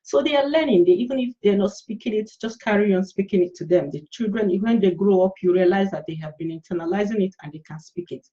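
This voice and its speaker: Female language tutor, Nigerian Igbo accent, mid pitch, encouraging and informal, slightly dull webcam sound. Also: quick pace, hardly any pauses, dark tone. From Enugu State, Nigeria. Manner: encouraging, often checking in with listeners. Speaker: language teacher. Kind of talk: informal instructional talk to camera. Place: small plain room indoors.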